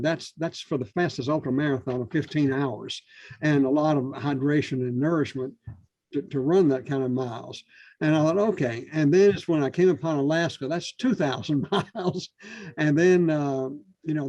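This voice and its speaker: A man giving spoken feedback on a manuscript to a writers' panel, halting and full of fillers and restarts. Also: fairly high pitch, darkish tone, dry recording, lo-fi sound. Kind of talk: casual spoken critique.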